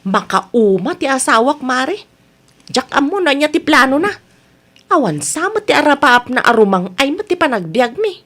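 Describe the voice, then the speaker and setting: A woman's voice: high-pitched, very animated, normal speed, fairly bright tone. A woman with an Ilocano accent playing an aggrieved, frustrated married daughter in a radio comedy-drama, emotive and full of complaint. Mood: exasperated.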